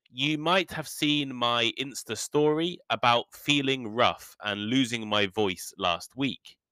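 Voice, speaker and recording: A man hosting an English-learning podcast, teaching in a careful, deliberate way with a few ums, his voice still slightly rough from a cold. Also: medium pitch, sharp tone, dry recording. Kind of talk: slow, clear podcast lesson for learners.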